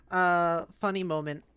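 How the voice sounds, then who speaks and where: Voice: medium pitch, a bit bright, smooth. A streamer host chatting casually and animatedly with viewers on a livestream. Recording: music playing behind the voice.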